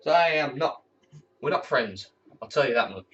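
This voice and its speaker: A man's voice: mid-range voice, expressive, normal speed, a bit bright, far from the mic. Teen guy, air cadet corporal, casual rambling vlog, swears a bit, mic set back, dull muffled sound. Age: teenager. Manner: candid, rambling, swears when annoyed. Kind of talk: casual talk to camera.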